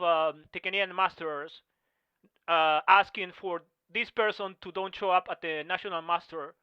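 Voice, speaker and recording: Halting non-native man on a video call. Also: high-pitched, clear, resonant tone, no room sound. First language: not English or French. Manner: halting, full of "uh" pauses and restarts. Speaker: Masters track and field athlete. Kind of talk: testimony in a video meeting. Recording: slightly muffled video call audio.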